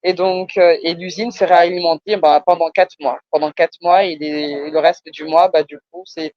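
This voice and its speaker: A woman's voice: fairly low pitch, steady tone, moderate pace, no echo. Parisian woman, dry and slightly dull sound. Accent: Parisian French. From France. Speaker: entrepreneur being coached. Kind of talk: explaining her business conversationally.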